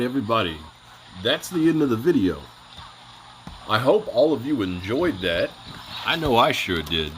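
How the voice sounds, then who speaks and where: A man's voice: fairly low pitch, a bit bright, a little hiss or hum, low-quality recording. A man hosting a video, talking casually and hesitantly with fillers. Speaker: video host. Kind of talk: casual video intro and outro.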